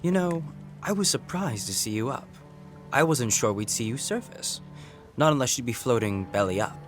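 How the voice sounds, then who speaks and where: A man's voice: medium pitch, animated, normal speed, close to an everyday voice. A man acting a sardonic, resentful sailor in a Regency audio drama, with a mocking, needling manner. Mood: mocking, bitter. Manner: mocking, sarcastic. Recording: faint music behind the voice.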